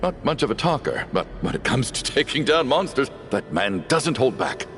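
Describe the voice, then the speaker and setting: An actor playing a gruff but sentimental middle-aged father in a video game, stammering and hesitant. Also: mid-range voice, very animated, moderate pace, a bit bright. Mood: grateful, flustered. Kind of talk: video game dialogue acting. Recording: music behind.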